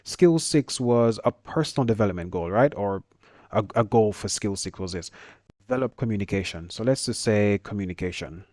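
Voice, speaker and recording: A man with a Jamaican accent teaching a screen-share lesson, talking quickly with stutters and restarts. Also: lowish voice, animated, darkish tone, dry recording, clean studio sound.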